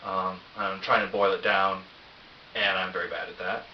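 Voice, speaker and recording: A man's voice: low voice, little pitch movement, moderate pace, recorded from a distance. A middle-aged man giving a casual, rambling vlog recap in a hesitant, wry, self-deprecating way, recorded on a cheap camera. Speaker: vlogger. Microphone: cheap camera, some distance away. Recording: roomy echo, dull cheap camera mic, hiss.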